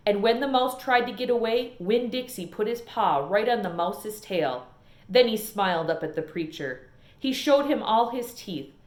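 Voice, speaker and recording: A woman reading a children's novel aloud as an expressive storyteller, in an echoey room. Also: medium pitch, normal speed. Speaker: storybook reader.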